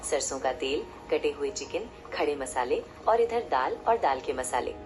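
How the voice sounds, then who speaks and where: A woman's voice: low voice, lively intonation, measured pace. A woman narrating a story in a scripted storybook style. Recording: music behind the voice. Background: loud background music.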